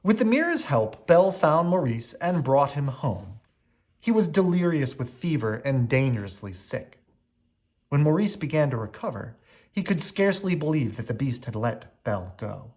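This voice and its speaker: A man reading a children's bedtime story aloud with expressive narration. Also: medium pitch, normal speed. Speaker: storybook reader.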